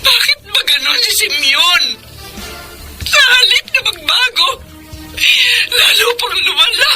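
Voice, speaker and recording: A woman's voice: very high voice, lively intonation, slow pace, bright, forceful tone, faint music behind, exaggerated voice, poor audio. An actress playing a long-suffering middle-aged mother in a Filipino radio drama, emotive and exasperated. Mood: outraged.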